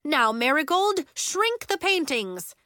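A woman narrator puts on a schoolteacher, a firm, capable woman from a children's story. The voice is bright and fairly high, commanding yet encouraging. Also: expressive, moderate pace, no room sound, clean studio sound. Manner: instructing, encouraging.

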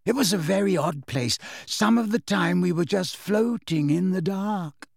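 A man voicing a calm, dreamy teenage girl in a storybook, with a soft, wistful, airy manner. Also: high-pitched, unhurried, gravelly, dry recording, clean studio sound, pitched above the performer's own voice, full character voice. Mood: hushed, dreamy.

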